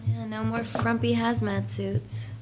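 An actress playing a cool, disapproving young scientist in a naturalistic film style. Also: female voice, on the high side, level tone, measured pace, darkish tone, faint music behind, natural voice. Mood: matter-of-fact. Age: young adult. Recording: reverberant hall with faint ambient noise.

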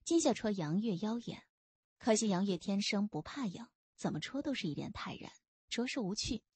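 Female audiobook narrator for historical fiction: expressive, lively intonation, husky edge, dry studio sound. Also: mid-range voice, moderate pace, dry recording.

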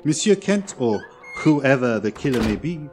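An actor playing a shrewd, polite Belgian detective with a French-speaking accent, speaking formally and precisely in 1940s radio style. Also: male voice, mid-range voice, sing-song, moderate pace, darkish tone, natural voice. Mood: suave. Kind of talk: 1940s radio drama acting. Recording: old radio drama with music.

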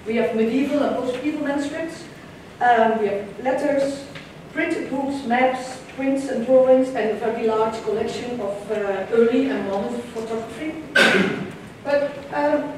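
A Dutch-accented woman presents at a conference, speaking with a somewhat high voice and a measured delivery full of ums and restarts. She is picked up from the back of a big lecture hall, so her voice carries a heavy room echo. A faint hiss of room noise sits underneath. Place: large lecture hall with audience. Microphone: room camera far from the stage. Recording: echoey lecture hall from far off.

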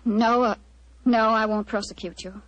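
A woman's voice: on the high side, fairly even intonation, moderate pace, a bit bright, no echo, natural voice. An actress in a 1940s radio drama playing an upper-class lady of the house, formal and emphatic. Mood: indignant. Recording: dull, dry vintage radio recording.

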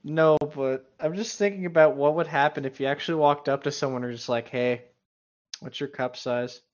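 A man's voice: fairly high pitch, steady tone, moderate pace. Wisecracking man, slightly muffled mic. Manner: sweary, wisecracking. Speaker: co-host. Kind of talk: casual joking chat.

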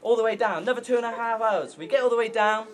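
A man's voice: extremely high pitch, fast. A young man in his twenties giving a joking best man speech at an outdoor wedding, telling stories and chuckling as he goes. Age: 20s. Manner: joking, storytelling, chuckling through lines. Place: outdoor pub garden at a wedding. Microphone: far camera. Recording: crowd laughter and loud noise from a far camera. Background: laughing wedding crowd.

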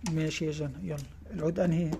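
An Egyptian man talks at a brisk clip as he shows off giveaway prizes in his tackle shop. He keeps checking in with "tamam?" and nudges the children helping him, recorded on a handheld phone with faint shop noise around him. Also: a little high, little pitch movement. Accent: Egyptian Arabic. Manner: quick, repeats "tamam?" to check in, coaxing children along. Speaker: fishing tackle shop owner. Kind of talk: casual shop video presenting giveaway prizes. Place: indoor fishing tackle shop.

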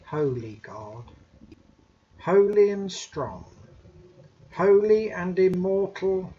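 A man in his fifties leading formal prayers in a solemn, measured manner with deliberate pauses. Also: high voice, mellow, breathy edge. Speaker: intercessor leading prayers in a church service. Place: domestic room, indoors. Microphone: webcam or laptop microphone. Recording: muffled webcam audio with background noise.

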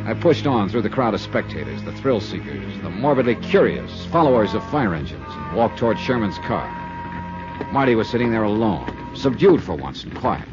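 A hard-boiled investigator in an old radio detective drama, terse, with a lively rise and fall in his voice. The recording is dull and vintage, with music playing clearly under it. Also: on the low side, moderate pace. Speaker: insurance investigator and narrator. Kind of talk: 1950s radio detective drama, first-person narration.

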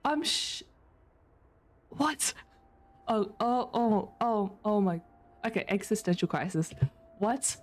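A woman streamer from Australia with an Australian accent, whose first language is not English, chatting casually with her chat, laughing often and breaking into high squeals. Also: lively intonation, slow pace, pauses often. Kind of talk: casual chat reading chat messages.

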